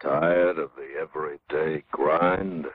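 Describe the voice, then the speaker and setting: A man's voice: extremely low pitch, steady tone, slow pace, no room sound, narrow, tinny audio, exaggerated voice. A man acting as a grave, ominous announcer in vintage radio adventure drama, on an old recording. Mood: ominous, inviting. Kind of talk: 1940s-50s American radio drama acting.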